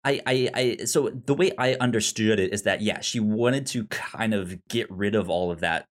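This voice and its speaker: A man talking casually about a film as a podcast co-host, halting and often stammering on repeated syllables. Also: on the low side.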